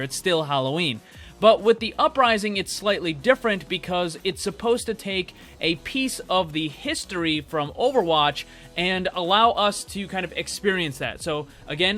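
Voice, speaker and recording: A man's voice: sits high, pushed and bright. A man giving casual, conversational commentary as a video game news host, explaining his theories directly to viewers. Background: background music.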